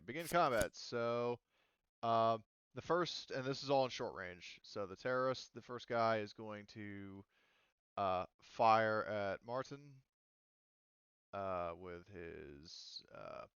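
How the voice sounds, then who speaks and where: A man's voice: fairly low pitch, slow, fairly bright tone, smooth, no echo, dull audio. A man casually narrating a tabletop roleplaying game as game master, informal and prone to swearing.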